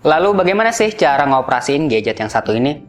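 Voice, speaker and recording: A man's voice: on the high side, very animated, fast talker. Casual man reviewing gadgets over music. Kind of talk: casual product review narration. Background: background music.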